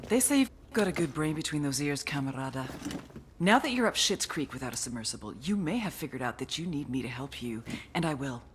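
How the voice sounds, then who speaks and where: An actress playing a tough, sardonic intelligence agent briefing operatives in a game, wry and punchy, with cynical bite. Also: female voice, low-pitched, expressive, a little fast, a little hiss or hum. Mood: cold, threatening. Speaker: mission briefer. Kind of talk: scripted game cutscene narration. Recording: music bed under the voice.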